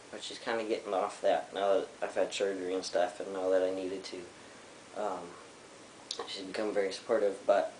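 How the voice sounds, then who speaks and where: A young man telling his personal story to a webcam at home, candid and reflective, pausing often with ums. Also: low-pitched, a bit bright, echoey room, a little hiss or hum, low-quality recording. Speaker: vlogger.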